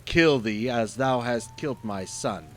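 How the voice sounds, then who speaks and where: A man's voice: a little slow, fairly bright tone, slight background noise, lightly acted. A man voicing a huge, menacing ancient genie. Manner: booming, menacing, archaic speech.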